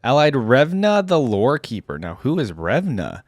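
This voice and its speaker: A man's voice: medium pitch, big pitch swings, a little slow, no echo, clean studio sound. A man giving casual reaction commentary as the host of a video.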